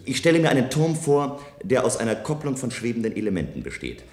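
An actor playing a self-assured visionary engineer in a German science fiction radio play, speaking in measured, persuasive statements. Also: male voice, medium pitch, very animated, a little mellow, natural voice. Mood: assured, insistent. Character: self-assured visionary male engineer proposing a bold tower. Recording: roomy, echoing studio radio play sound.

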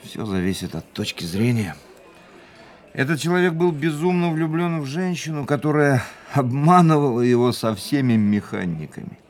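An actor playing a fatalistic, world-weary racing driver in a Russian radio drama, speaking in a measured, reflective way. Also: male voice, mid-range voice, animated, darkish tone, natural voice. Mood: weary, philosophical. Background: music.

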